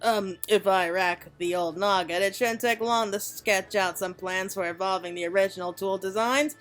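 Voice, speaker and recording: A woman voicing a brisk, enthusiastic craftswoman from a fantasy game in a folksy manner. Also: medium pitch, fairly even intonation, soft background music, natural voice. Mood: businesslike.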